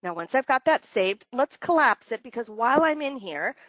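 A woman leading a training webinar, talking through software steps conversationally, thinking aloud and repeating phrases for emphasis. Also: on the high side, lively intonation, moderate pace, no room sound. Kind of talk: conversational step-by-step software walkthrough. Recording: dull, lo-fi dry webinar audio.

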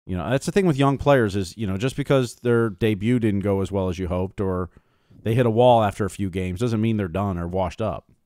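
A man's voice: fairly low pitch, brisk, dark tone, dry recording, studio quality. A man co-hosting a sports podcast, casually chatting and handling listener questions.

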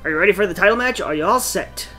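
A man's voice: sits high, lively intonation, racing, pushed and bright. A man giving casual, playful commentary while he plays a video game. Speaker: gamer commentating on playthrough. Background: game music.

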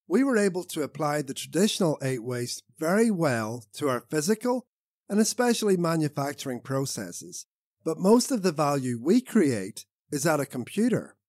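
Male author-narrator for nonfiction audiobook. Animated, swinging pitch, recorded close and dry. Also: no echo. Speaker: author reading own audiobook.

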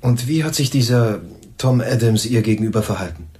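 An actor playing a curt, direct police sergeant in a crime radio drama. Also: male voice, mid-range voice, a little fast, warm tone, natural voice.

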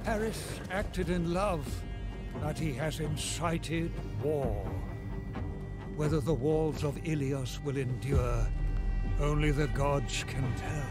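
A man narrating an ancient war saga for a strategy game in a grave, measured tone. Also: fairly high pitch, over loud music. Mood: solemn. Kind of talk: scripted epic narration.

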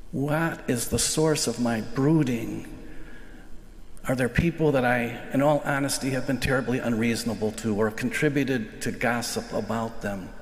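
Older American priest at a lectern, earnest and reflective homily, mid-pitched voice, roomy church reverb. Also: male voice, a little hiss or hum. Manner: earnest, reflective, slows to stress key phrases. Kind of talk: sermon. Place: large church sanctuary, indoors. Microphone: lectern microphone. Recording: echoey church livestream with long reverb.

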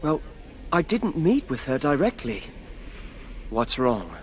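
A calm young nobleman leads with a formal, measured authority. His pitch sits mid-range and he sounds earnest, like a video game cutscene hero, over faint background noise. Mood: urgent, steadying.

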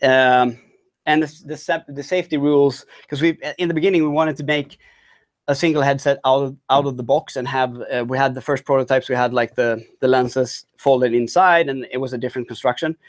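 Halting male tech talk presenter. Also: medium pitch, fairly even intonation. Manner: halting, many restarts and fillers. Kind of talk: conference talk.

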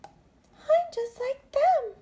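Very high squeaky voice, little girl bat, timid and childlike, slow, storybook character. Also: dark tone, slight background noise, heavily acted. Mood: earnest. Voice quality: squeaky, small. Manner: plaintive, childlike. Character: timid, earnest baby girl fruit bat. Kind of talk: acted children's storybook read-aloud.

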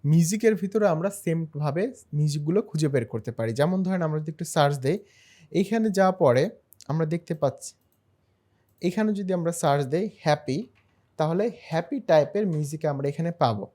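A man narrating a screen-share software tutorial in a step-by-step, explanatory way. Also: a little high, lively intonation, fairly quick. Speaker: tutorial host.

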